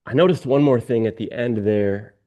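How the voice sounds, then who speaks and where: A man chatting casually as he reviews his own work, halting and self-critical in manner. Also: medium pitch, mellow. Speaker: radio presenter being coached. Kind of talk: casual conversation.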